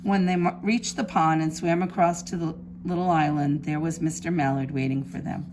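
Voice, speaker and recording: A woman reading a children's storybook aloud in an expressive, emphatic preschool-teacher style. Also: low voice, normal speed, noisy background.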